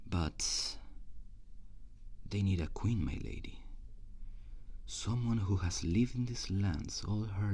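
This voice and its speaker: A menacing conqueror prince, acted by a man, with a very low voice full of gravelly fry. He speaks slowly and formally, as in a dark fantasy audio drama, with courtly cruelty and breathy drops toward a whisper. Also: full character voice. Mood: grandiose, proud. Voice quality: husky, gravelly. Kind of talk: ASMR roleplay, theatrical monologue.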